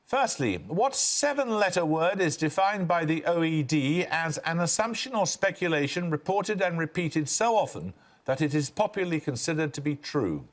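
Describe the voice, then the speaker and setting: An older man hosting a television quiz, reading questions in a crisp, brisk manner. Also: a little high, animated. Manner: crisp, brisk quizmaster delivery. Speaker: quiz show host.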